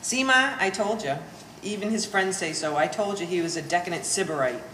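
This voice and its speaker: Woman acting a sanctimonious daughter, lowish, echoey. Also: lively intonation, brisk, fairly bright tone. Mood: smug, disapproving. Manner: sardonic, pompous wording. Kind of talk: one-act stage play performance. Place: gallery stage performance, indoors. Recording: echoey hall with faint noise.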